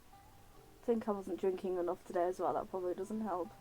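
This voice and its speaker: A woman's voice: fairly low pitch, fairly even intonation, fairly quick, mellow, faint music behind, poor audio. A woman giving casual, joking, self-deprecating commentary as she plays a game. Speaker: let's play commentator.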